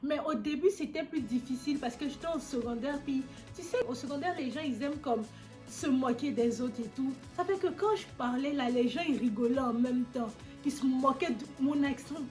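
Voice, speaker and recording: A young woman with an Ivorian accent chats casually and animatedly, answering questions about her roots. Her voice sits fairly high. Faint music plays underneath. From Côte d'Ivoire. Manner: lively, animated, quick to tease. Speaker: vlogger.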